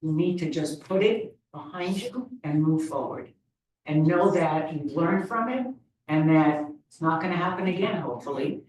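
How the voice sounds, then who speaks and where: A woman's voice: deep voice, lively intonation, normal speed, darkish tone. A woman panelist giving firm, direct advice. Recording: echoey hall from far off.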